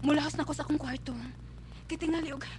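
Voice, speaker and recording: A woman's voice: high-pitched, level tone, fast talker, darkish tone, a little hiss or hum, natural voice. A woman playing a devoted, lovestruck young daughter of a rich family, yearning, with a Cebuano accent in a radio drama. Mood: secretive. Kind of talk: melodramatic radio drama acting.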